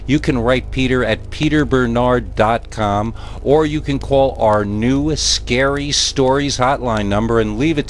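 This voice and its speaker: A man with an American accent narrating a scripted scary story as a podcast host. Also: mid-range voice.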